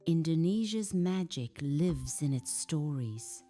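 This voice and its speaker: Woman as a gentle magical eagle, mellow and slow. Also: sits low, animated, faint music behind, heavily acted. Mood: tender. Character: luminous female hawk eagle, gentle magical guide.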